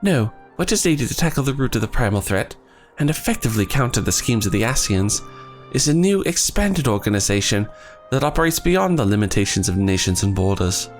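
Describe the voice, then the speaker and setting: A man reading the lines of an earnest young male scholar in his own voice, with formal, archaic phrasing and a voice that is going hoarse. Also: medium pitch, very animated, fairly quick, soft background music, close to an everyday voice. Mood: solemn. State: losing his voice.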